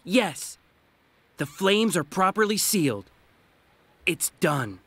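Young male hedgehog, earnest, husky, unhurried, dry sound, game cutscene. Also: high voice, very animated, a bit bright, no echo, exaggerated voice. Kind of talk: video game cutscene acting.

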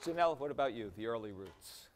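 A man's voice: mid-range voice, lively intonation, quick pace, some chatter behind. A man moderating a panel in an encouraging way, with frequent affirmations, in a large formal hall.

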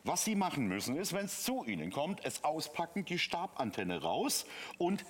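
A man's voice: a little high, sing-song, moderate pace, a little hiss or hum. A man working as a TV shopping presenter, explaining a product step by step in an unscripted, conversational way. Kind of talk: unscripted live product demonstration. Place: TV studio.

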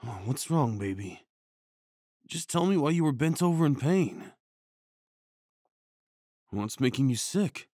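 A man plays a tender, worried boyfriend in an ASMR comfort roleplay. His voice is husky and hushed, and he takes his time with each soothing phrase. The recording is close and dry. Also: mid-range voice, animated, slow, long pauses, no echo. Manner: hushed, soothing, breathy sighs and shushing. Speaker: ASMR audio roleplay performer.

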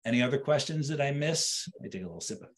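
A man's voice: medium pitch, animated, racing. A man in his fifties giving a conversational live cooking demonstration from a home kitchen, explaining things by repeating and rephrasing. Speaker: chef teaching an online cooking class.

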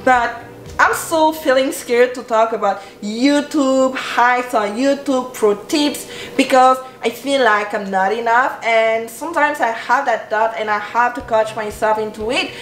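A young woman speaks earnestly and encouragingly to camera, her voice a little high. She talks in a bedroom that sounds roomy and echoey, with the camera at medium distance. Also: unhurried, fairly bright tone, slight background noise. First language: French. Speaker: style coach and YouTuber. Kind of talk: motivational vlog talk to camera. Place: bedroom with clothes rack and shelves, indoors.

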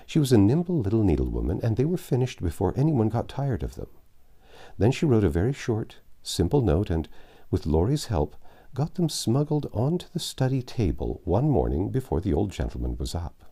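A man's voice: lowish voice, dark tone, slightly rough. A man in his sixties reading a classic novel aloud at home on a lapel mic, giving the characters' lines in a raised voice. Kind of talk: storybook read-aloud narration. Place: home library with bookshelves and armchair.

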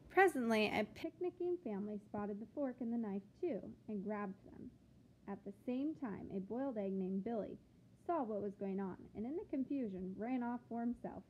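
A woman reading a picture book aloud to children like a teacher, with expressive, deliberate phrasing and questions to the listeners. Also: on the high side. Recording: phone-like thin sound with background noise.

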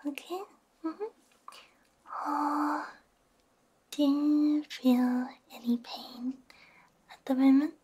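Slow, whispering young woman, ASMR. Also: high voice, steady tone. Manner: gentle, soothing, hushed, frequent soft hums. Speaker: ASMR roleplay performer as repair technician. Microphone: close binaural microphone.

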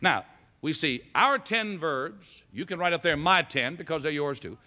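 A middle-aged man preaches from a pulpit stand mic in a large sanctuary, his voice bright and forceful. He climbs to loud, emphatic exhortation and then sinks into quieter, lower passages. A long hall reverb trails every phrase. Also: lively intonation, moderate pace. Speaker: pastor. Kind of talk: sermon. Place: large church sanctuary with choir behind.

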